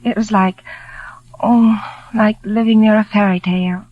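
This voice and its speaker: An actress in a 1940s radio drama playing a shy, dreamy young village woman who speaks in a hushed, wistful storytelling way. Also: medium pitch, fairly even intonation, a little slow, no echo, close to an everyday voice. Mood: gentle, wistful. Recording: muffled vintage 1940s radio recording.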